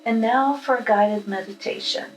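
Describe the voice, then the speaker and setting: A woman leading a guided meditation with affirmations, speaking in a calm, unhurried, instructional manner. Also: on the high side. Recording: roomy echo with music behind.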